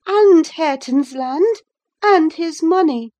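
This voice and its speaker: A woman's voice: very high-pitched, animated, takes it slowly, mellow, pitched above the performer's own voice, exaggerated voice. A woman voicing a headstrong, insolent young woman in a storybook reading, speaking out boldly and tauntingly. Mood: defiant, taunting. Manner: bold, taunting, outspoken.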